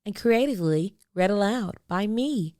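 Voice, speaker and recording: A woman reading a children's storybook aloud, expressively, with deliberate phrasing and dramatic pauses. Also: mid-range voice, animated, mellow, no echo, studio quality. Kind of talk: expressive picture-book read-aloud.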